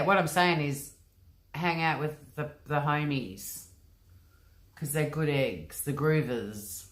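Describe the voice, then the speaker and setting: Woman, very deep voice, chatty livestream host, rambling, lots of ums and likes, home computer mic. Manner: chatty, rambling, often says um and like. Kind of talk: casual livestream chat reading comments.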